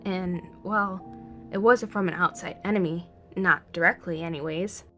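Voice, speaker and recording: A woman playing a composed vampire council member in a scripted roleplay, with formal, measured delivery. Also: lowish voice, fairly even intonation, fairly bright tone, soft background music. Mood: calm, grave.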